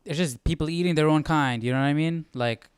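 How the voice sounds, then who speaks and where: A man's voice: on the high side, fairly quick, clean tone, dry recording, studio quality. A man hosting a live Bible study, giving casual, colloquial, spontaneous commentary. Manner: conversational, colloquial.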